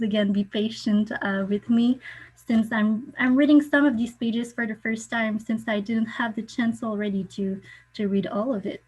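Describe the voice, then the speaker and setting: A woman whose first language is French, speaking hesitant English on a video call and often pausing to search for words. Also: a little high, rough recording. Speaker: guest speaker. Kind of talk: online meeting chat.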